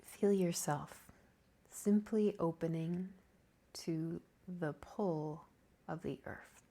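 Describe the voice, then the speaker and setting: A woman teaching yoga nidra, leading a guided meditation in a gentle, calming, softly breathy way. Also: lowish voice, slow. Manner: calming, gentle, soft-spoken with breathy softness.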